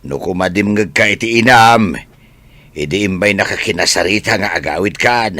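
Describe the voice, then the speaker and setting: A man's voice: on the low side, moderate pace, sharp tone, no echo. A man playing a stern, scolding older father in an audio drama, speaking in an admonishing manner. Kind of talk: dramatized story acting.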